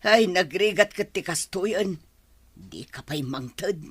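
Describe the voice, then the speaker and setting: A woman's voice: fairly low pitch, expressive, slow, slightly rough, no room sound, full character voice. A woman playing an older, incredulous mother who grumbles about money in a radio drama. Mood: complaining. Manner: complaining, incredulous. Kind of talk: Ilocano radio drama acting.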